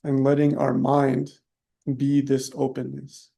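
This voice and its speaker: Man, meditation teacher, flat even pitch, gravelly creak, soft-spoken, short phrases with long pauses. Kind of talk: guided meditation.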